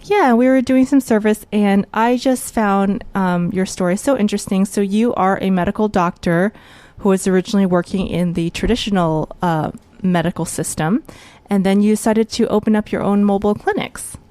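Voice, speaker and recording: A woman's voice: medium pitch, moderate pace, darkish tone, no room sound. A woman hosting an interview podcast, asking questions and laughing often. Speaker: podcast host.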